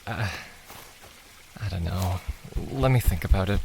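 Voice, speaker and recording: A man's voice: low voice, measured pace, gravelly, noisy background. A man playing an unsure, troubled boy in an audio drama, hesitant and questioning.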